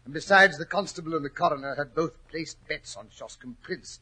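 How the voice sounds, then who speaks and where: A genial English gentleman spins his tale in 1940s radio style. His voice is husky and fairly high, with a bright edge. He is chatty and courteous, and the old recording shows its age. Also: a little fast, low-quality recording. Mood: genial, relishing.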